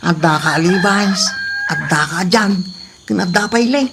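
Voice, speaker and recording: A woman's voice: lowish voice, measured pace, creaky, background noise. A woman playing a sneaky, whining male thief in a comedy audio drama, muttering and exclaiming. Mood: gleeful, sneaky.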